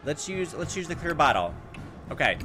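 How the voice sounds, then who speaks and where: A man with a fairly high, very animated voice talks through a video game over clearly audible music. He thinks out loud and talks to himself, casually switching between his own reactions and reading the game's text. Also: normal speed. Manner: thinking aloud, talking to himself. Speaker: gaming video host.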